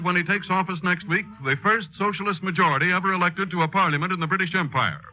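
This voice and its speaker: A man's voice: high voice, natural voice. A man playing a grave, declamatory newsreel narrator in a 1930s radio news dramatization, stressing words with solemn weight. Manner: portentous, declamatory, drawn-out emphasis. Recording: muffled old 1930s radio recording, music.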